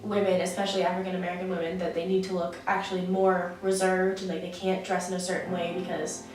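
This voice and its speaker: A woman's voice: on the low side, little pitch movement, quick pace, a little mellow. A teenage woman from Massachusetts and New Hampshire answering interview questions casually, saying "like" a lot. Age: teens. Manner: casual, lots of "like". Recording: echoey room from far off, music behind.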